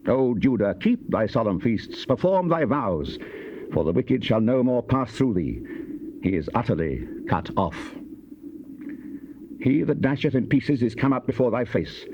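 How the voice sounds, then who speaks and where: A man's voice: medium pitch, big pitch swings, a little slow. A man acting a grave, wrathful Old Testament prophet in a solemn, declamatory scripture dramatization. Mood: solemn, assuring. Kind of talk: dramatized King James scripture reading. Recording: dull, noisy cassette tape.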